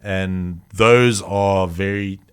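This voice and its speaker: A man's voice: low voice, steady tone, drawn-out words, smooth. A man in his thirties chatting casually on a podcast, rambling and acting out little scenes as he makes his point. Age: 30s. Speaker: podcast co-host. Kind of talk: casual chat.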